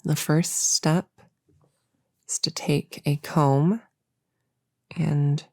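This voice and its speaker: A woman playing a nervous, eager young novice witch in an ASMR roleplay, speaking softly and hesitantly, often near a whisper, close to the mic. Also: deep voice, flat delivery, dry recording, exaggerated voice. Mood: eager instructing. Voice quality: soft, often dropping into whisper. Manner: halting, soft-spoken, hesitant and reassuring. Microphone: close-up mic in a dry, quiet space.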